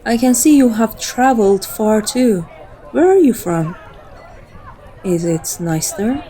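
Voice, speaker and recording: Casting: female actor for seductive vampire woman, teasing and intimate, unhurried, soft dark timbre, ASMR roleplay. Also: on the high side, animated, some chatter behind, rough recording. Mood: soft, wistful. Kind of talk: scripted ASMR roleplay acting.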